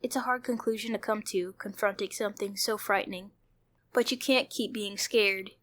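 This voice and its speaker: A woman's voice: mid-range voice, fairly even intonation, moderate pace, a bit bright. A woman hosting a playthrough, reading visual novel narration aloud and adding her own commentary. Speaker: host of a video game playthrough channel. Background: background music.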